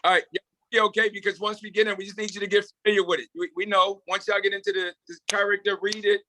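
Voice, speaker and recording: Bright, high-voiced earnest man. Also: flat delivery, fast talker, no echo, poor audio. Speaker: project co-leader. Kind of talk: casual motivational group talk. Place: video call.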